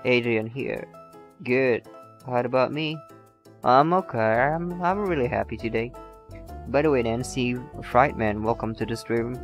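Slow-talking young man streaming a game. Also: medium pitch. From Indonesia. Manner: chatty, halting, many restarts and laughs. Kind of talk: casual live-stream chat reading viewer comments. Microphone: shotgun microphone, not facing it. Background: faint game music.

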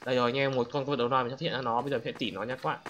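A man giving excited, casual gameplay commentary as a gaming host, exclamatory and slangy, often repeating his words. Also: mid-range voice, rapid-fire, slight background noise.

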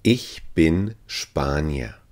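A man's voice: a bass voice, lively intonation, drawn-out words, darkish tone. A man teaching language phrases, saying each one three times carefully and evenly. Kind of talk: slow repeated phrase drill.

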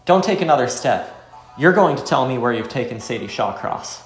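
A man's voice: mid-range voice, animated, normal speed, lightly acted. A man voicing a bold wandering fighter in a visual novel game, stoic, earnest and defiant. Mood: defiant, threatening. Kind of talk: amateur visual novel voice acting. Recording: roomy with faint music.

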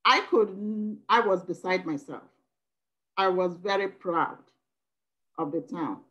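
A woman from Nigeria whose first language is Igbo, speaking with animated emphasis during a video call meeting. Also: lowish voice, takes it slowly, dull audio. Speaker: working group member. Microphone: laptop video call.

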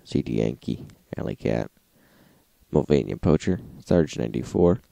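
A man with an extremely deep, heavily creaky voice reads log entries as a host. His tone is dark and mellow, his delivery plain and list-like, and the sound is close and dry. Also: lively intonation, normal speed, no room sound. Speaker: host, radio log narrator. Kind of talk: scripted narration, reading logs.

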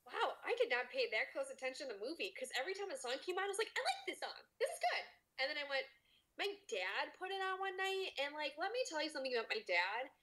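A woman with a high, very lively voice chats as a podcast co-host. Her delivery is casual and playful, full of banter. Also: quick pace. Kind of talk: casual chat.

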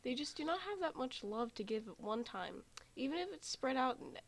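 Confident, blunt anime young man, played by a woman, very high register, amateur fan-drama delivery. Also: lively intonation, normal speed. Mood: insistent lecturing. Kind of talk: amateur fan dub roleplay.

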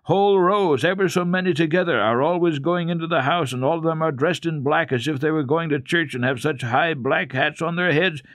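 A man voicing an eager, high-pitched little girl. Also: fairly quick, hardly any pauses, fairly bright tone, dry recording, clean studio sound, lightly acted. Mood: threatening, earnest. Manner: emphatic, earnest, insistent. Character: eager, bossy, determined little Swiss girl. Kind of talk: dramatic children's storybook reading.

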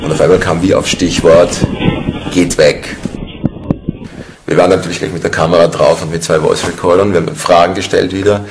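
A man's voice: low voice, flat delivery, fairly quick, creaky, over music. A man recounting events in an interview as an amateur paranormal investigator. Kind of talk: spontaneous interview account. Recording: noisy location interview.

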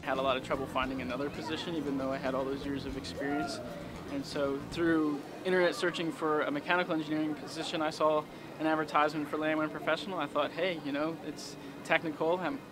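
A man's voice: a little high, little pitch movement, fast, noisy background. A man, a former mechanical engineer, telling his career change story in an outdoor testimonial interview.

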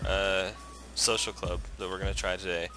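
A man's voice: low-pitched, little pitch movement, normal speed, pushed and bright. A man hosting a gaming channel, ranting and chatting with friends while racing, sarcastic and profane. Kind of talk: rant to camera then casual gaming chat. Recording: game music behind the voice.

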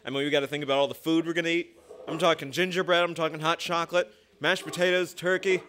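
Young man, higher-pitched, bright, casual hosting, chatty, folksy, studio mic. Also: level tone, fast talker. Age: young adult. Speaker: host. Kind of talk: casual ad-libbed show hosting. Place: small indoor studio. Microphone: stand microphone.

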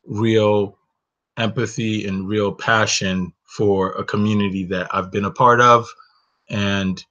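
A man from Northern Nigeria with a low voice talks through a webcam in a small room. His sound is dull and lo-fi. He speaks earnestly and personally, often pausing on "you know.". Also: steady tone, sharp tone. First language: Hausa. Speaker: webinar host. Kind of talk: reflective solo webinar talk. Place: bedroom indoors, small. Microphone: webcam, close framing.

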